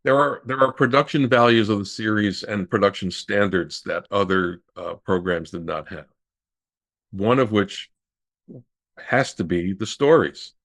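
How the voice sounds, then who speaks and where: A man in his sixties answering as an expert guest, explaining haltingly with many pauses and repeats. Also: fairly low pitch, a bit bright. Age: 60s. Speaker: radio historian guest. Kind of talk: interview answers, recollection and explanation.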